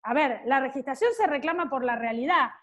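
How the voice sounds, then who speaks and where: A woman's voice: sits high, lively intonation, brisk, a bit bright. A woman in her forties with an Argentine accent, giving an online law class in a chatty way, joking with students by name. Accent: Argentine Spanish. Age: 40s. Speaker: law lecturer.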